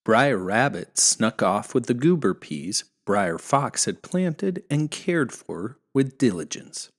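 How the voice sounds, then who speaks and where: A man telling a folktale as an expressive, folksy scripted narration. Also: mid-range voice, slow. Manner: folksy, animated. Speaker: storyteller.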